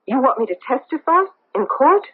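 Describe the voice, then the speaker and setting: An actress playing a guarded, anxious middle-aged socialite with a secret in a 1970s radio drama, speaking in a clipped, defensive, emotional way. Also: female voice, sits high, very animated, normal speed. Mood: wary, shaken. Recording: muffled tinny old radio broadcast.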